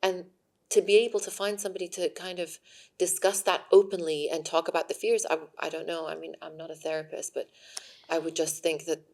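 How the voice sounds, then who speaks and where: Lowish woman podcast host, supportive, hesitant, lots of "I mean". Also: little pitch movement, fairly quick, a little mellow. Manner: supportive, hesitant, many fillers. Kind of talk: conversational interview.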